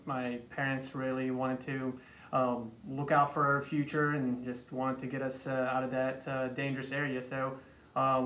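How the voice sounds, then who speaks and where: Halting young man, echoey office recording. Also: medium pitch, a bit bright. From Iraq. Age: late 20s. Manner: halting, repeats words and phrases. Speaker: chiropractor being introduced. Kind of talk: personal story in an informal interview. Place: small office room indoors. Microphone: phone camera a few feet away. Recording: echoey room, distant mic, faint noise.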